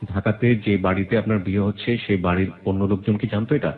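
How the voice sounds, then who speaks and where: A Bangladeshi man works as a radio interview host, speaking rapidly in a fairly low voice. He is probing in his manner, and the sound is narrow and muffled, like a phone line. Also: steady tone.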